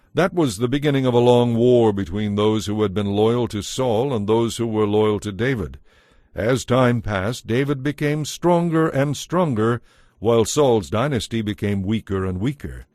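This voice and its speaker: A man's voice: medium pitch, darkish tone, no echo, studio quality. A man narrating a dramatized audio Bible as a scripted storyteller.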